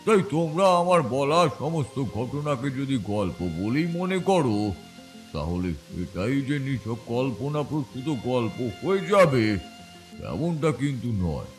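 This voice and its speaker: Actor as a proud tantric elder, animated delivery. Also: male voice, medium pitch, faint music behind. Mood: stern, assertive. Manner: emphatic, authoritative, measured storytelling. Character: proud, wandering elder tantric recalling his eerie past. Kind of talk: Bengali audio story acting.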